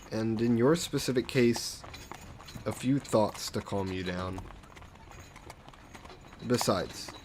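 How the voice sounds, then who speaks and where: A man playing a suave, possessive vampire lord in an intimate fantasy audio roleplay, speaking softly and coaxingly with condescending affection, as if hypnotizing a captive. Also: on the low side, slight background noise. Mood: smug, persuasive. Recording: steady background carriage and rain ambience. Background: horse-drawn carriage and rain sound effects.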